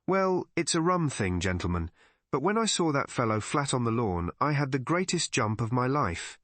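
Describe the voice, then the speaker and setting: A man's voice: medium pitch, sing-song, moderate pace, fairly bright tone, dry recording. A man voicing an irreverent old police underling in a storybook, chatty, colloquial and matter-of-fact. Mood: chatty, explanatory.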